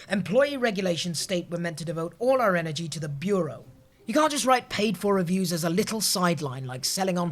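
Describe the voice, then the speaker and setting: A woman playing an earnest, disapproving, rule-abiding office colleague in an audio sitcom, prim and wordy. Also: on the low side.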